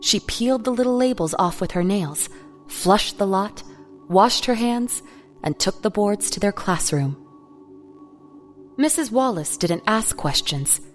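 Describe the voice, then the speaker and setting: A woman's voice: medium pitch, lively intonation, unhurried, faint music behind. A woman reading scripted narration for an audio drama.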